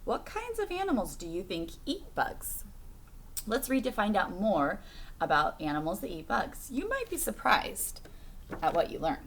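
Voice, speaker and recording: A woman's voice: mid-range voice, huge pitch swings, moderate pace, slight background noise. A woman reading a picture book aloud to children like a teacher, phrasing expressively and putting questions to her young listeners.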